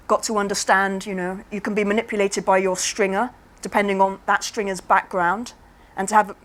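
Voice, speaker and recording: A woman at a public talk answers questions from the floor at a middling pitch. She explains in plain, reflective terms and takes her time. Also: a bit bright. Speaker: invited speaker answering audience questions. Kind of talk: measured Q&A answers.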